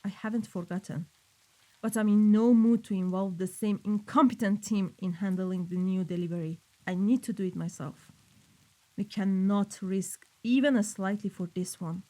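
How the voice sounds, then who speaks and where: Woman playing a mellow-voiced, commanding mafia boss. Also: mid-range voice, moderate pace, lightly acted. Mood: cold, commanding. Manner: clipped, authoritative orders. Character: commanding, exacting, impatient female mafia boss. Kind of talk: scripted audio roleplay acting.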